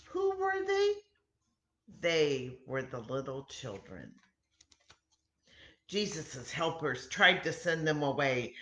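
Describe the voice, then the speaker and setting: A woman in her sixties talking and reading to children in simple, deliberate phrases with frequent pauses, as a story time host. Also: low-pitched, sing-song, fairly bright tone. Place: home kitchen, indoors. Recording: roomy echoey home webcam recording.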